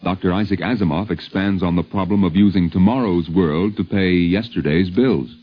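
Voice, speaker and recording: A man's voice: sits low, normal speed, husky. An American man narrating a scripted 1950s radio documentary as host, with a wry, literate delivery on an old radio recording. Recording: dull lo-fi vintage radio recording.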